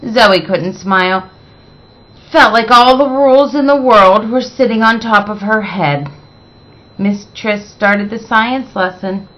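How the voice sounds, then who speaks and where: A woman reading a children's picture book aloud as an expressive storyteller, with deliberate, dramatic emphasis. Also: medium pitch, poor audio.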